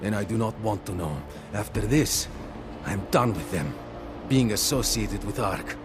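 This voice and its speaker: A man's voice: on the low side, expressive, music in the background. An actor playing a tired, nervous augmented repairman who halts and fumbles for words in a video game cutscene. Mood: weary. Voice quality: halting, weary, fumbling for words.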